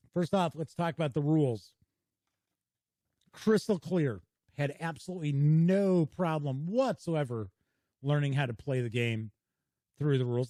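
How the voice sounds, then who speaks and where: A man's voice: mid-range voice, darkish tone, no echo, clean studio sound. A man hosting his own board game channel, giving a casual, chatty review to camera in a folksy, welcoming way. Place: small home studio.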